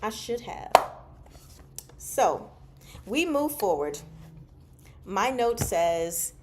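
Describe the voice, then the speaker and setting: A woman hosting a casual book discussion, speaking from notes in an animated, giggly, self-interrupting way. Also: mid-range voice, very slow, very noisy. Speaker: host of a book discussion show. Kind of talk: casual fan discussion, reading from notes and comments.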